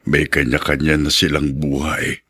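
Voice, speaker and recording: Elderly widower, husky, bright forceful tone, affectionate, wistful, radio drama. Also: male voice, rumbling low, completely flat delivery, normal speed, heavily acted. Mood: lonely, wistful. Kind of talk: Tagalog radio drama acting.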